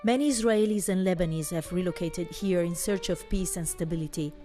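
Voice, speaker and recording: A woman's voice: fairly low pitch, fairly quick, mellow. A woman reporter reading scripted narration for a news report. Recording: music bed behind voice. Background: music.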